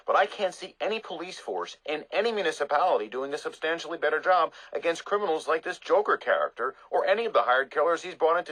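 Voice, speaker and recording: An actor playing an earnest, measured TV commentator, arguing in an articulate, reasoned way. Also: male voice, a little high, a bit bright, poor audio. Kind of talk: broadcast debate commentary.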